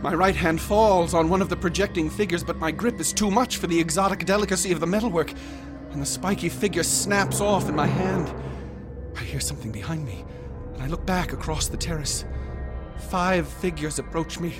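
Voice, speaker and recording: A haunted young male student played by a man in a radio drama, speaking in a fairly high voice with intense, florid phrasing. Prominent music runs behind his obsessed, dramatic telling. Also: fairly quick, close to an everyday voice. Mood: feverish dread.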